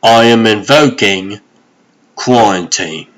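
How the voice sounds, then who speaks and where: A man's voice: lowish voice, slow pace. A man playing a stern, weary sorcerer sheriff in a comic dub, speaking in a grave, formal manner. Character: stern, weary male sorcerer sheriff who lays down the law. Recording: loud dramatic music behind.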